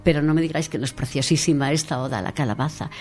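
A woman's voice: sits low, racing, a little mellow. A woman talking in a casual podcast chat, enthusiastic and emphatic, often repeating words. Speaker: podcast contributor.

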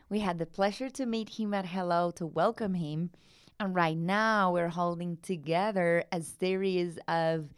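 A woman's voice: mid-range voice, clear, resonant tone, no echo, clean studio sound. A woman with a tongue hosting a radio interview, enthusiastic and effusive, sometimes searching for words in her questions. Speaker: radio host.

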